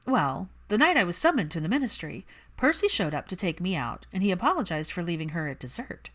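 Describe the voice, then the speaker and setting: Young female friend for audiobook, played by a woman: forthright, teasing, emphatic, highly varied pitch. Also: sing-song, fairly quick, a bit bright. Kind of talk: acted fan fiction audiobook dialogue.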